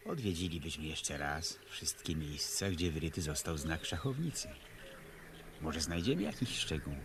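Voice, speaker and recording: An actor in a 1970s Polish radio play, playing a polite, gentle art historian who is an amateur detective. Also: male voice, very deep voice, expressive, normal speed. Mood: gently persuasive. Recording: noisy old radio recording.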